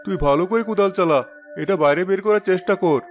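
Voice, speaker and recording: An actor playing an honest, steady farmer and elder brother in a cartoon, giving advice. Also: male voice, sits high, fast, lightly acted. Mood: advising. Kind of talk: cartoon dialogue.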